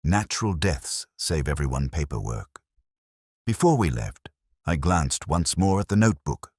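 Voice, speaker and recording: A man playing a melancholy, observant police inspector, narrating a detective audio drama in a measured, literary manner. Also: a bass voice, very animated, darkish tone, breathy edge, no echo, clean studio sound, heavily acted. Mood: brooding, reflective.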